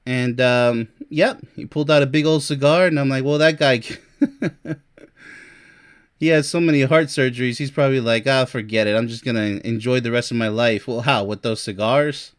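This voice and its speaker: A man's voice: medium pitch, normal speed. Blunt, sarcastic man in his forties. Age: 40s. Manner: blunt and boastful, with sarcastic asides and short laughs. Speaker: relationship coach and vlogger. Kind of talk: casual monologue reading from a list. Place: Europe.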